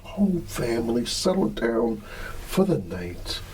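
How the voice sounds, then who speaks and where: Sleepy, slow-talking man reading a bedtime story. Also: mid-range voice, big pitch swings, slight background noise, low-quality recording. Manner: drowsy, yawning, drawn-out halting delivery with hums. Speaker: bedtime storyteller in an ASMR roleplay. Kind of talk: ASMR roleplay, reading a children's story.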